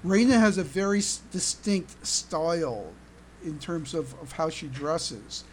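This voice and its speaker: A podcast co-host debating casually as a fan, halting and repeating words while making a point. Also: mid-range voice. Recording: faint background noise.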